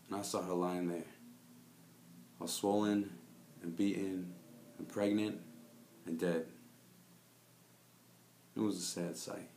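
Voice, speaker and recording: A man's voice: sits low, darkish tone, echoey room, slight background noise. A man playing a cynical, world-weary private investigator, delivering a brooding noir monologue in a confiding, jaded manner. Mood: somber. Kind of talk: scripted noir detective monologue.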